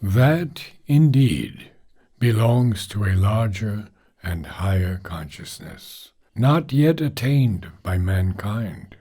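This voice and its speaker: A man reading a philosophical text aloud in a deliberate, solemn manner, pausing between phrases. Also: fairly low pitch, expressive, dark tone, husky. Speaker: reader of a spiritual philosophy book. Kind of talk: measured audiobook-style reading.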